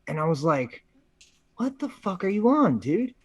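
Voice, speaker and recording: A man with a husky voice chats casually as a co-host, slangy and profane, repeating phrases for emphasis. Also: sits high, sing-song, measured pace, no echo. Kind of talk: casual opinionated chat.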